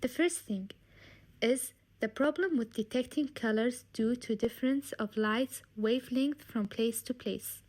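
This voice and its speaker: Woman reading out a student project report, formal, voice a bit high. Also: unhurried, a little mellow. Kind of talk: formal scripted project presentation.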